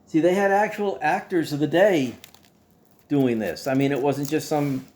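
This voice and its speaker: A man hosting a casual monologue to his viewers in a folksy, playful way, halting and repeating himself as he goes. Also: a little high, a little mellow. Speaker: host.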